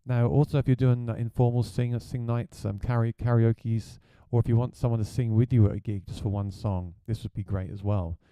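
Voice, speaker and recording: A man with a deep voice, a gigging musician, chatting plainly through a gear review. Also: steady tone, fairly quick, few pauses, warm tone, clear, resonant tone, no room sound.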